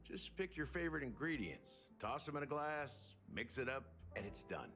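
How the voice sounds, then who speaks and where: An actor playing an aging, wry bartender detective in a video game, speaking theatrically like an unhurried storyteller. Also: male voice, medium pitch, very animated, a bit bright. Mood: smooth showmanship. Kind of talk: scripted video game dialogue. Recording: game music behind the voice.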